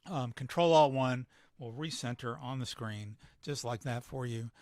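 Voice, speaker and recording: A man giving a casual live software tutorial, thinking aloud with fillers, his voice starting to go. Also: medium pitch, measured pace, no room sound, clean studio sound. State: losing his voice. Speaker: photographer demonstrating editing software.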